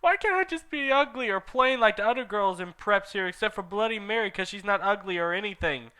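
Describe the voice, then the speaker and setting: A man putting on a shrill, whiny teenage goth girl. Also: lively intonation, a little fast, pushed and bright, clear, resonant tone. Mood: whining. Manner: shrill, exaggerated ranting.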